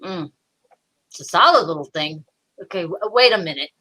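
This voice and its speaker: A woman playing a gruff, bossy male police detective in an old-time radio drama, with blustering, slangy tough-cop talk. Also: on the low side, expressive, moderate pace, sharp tone. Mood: curious.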